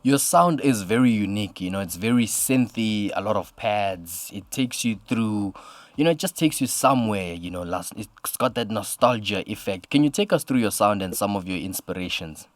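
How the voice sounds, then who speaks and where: A man's voice: fairly low pitch, expressive, moderate pace, no echo. A man hosting a radio show and interviewing a guest, friendly and complimentary, leaning on "you know" a lot.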